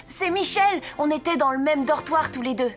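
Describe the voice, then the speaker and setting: A woman's voice: high voice, moderate pace, close to an everyday voice. A woman voicing an earnest, brave young orphan boy in a French cartoon, with a childlike voice. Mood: excited, curious. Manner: earnest, eager. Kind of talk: French animated series dubbing. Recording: music behind the voice.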